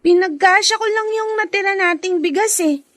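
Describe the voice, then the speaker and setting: Dutiful older sister, very high voice, radio drama, dry studio sound. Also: female voice, moderate pace, a bit bright, dry recording. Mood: caring. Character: caring, dutiful elder sister in poor family.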